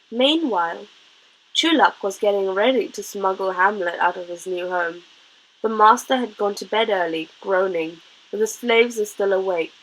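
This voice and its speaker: A woman's voice: mid-range voice, a bit bright, rough recording. A woman reading a children's adventure story aloud as scripted narration. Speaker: audiobook reader.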